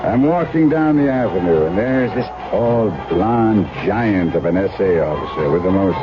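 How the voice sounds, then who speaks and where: An actor playing a weary, principled older German doctor, gentle and skeptical, in a 1970s radio drama. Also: male voice, lowish voice, lively intonation, normal speed, close to an everyday voice. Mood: reflective narrating. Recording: muffled old radio broadcast, music behind.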